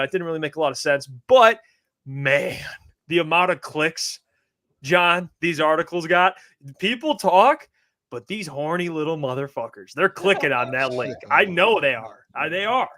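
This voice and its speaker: A man with a bright, tense voice and a slightly raised pitch, recorded close and dry. He co-hosts a sports podcast and banters in a loose, profane, joking way. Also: expressive, moderate pace, no echo. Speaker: podcast co-host.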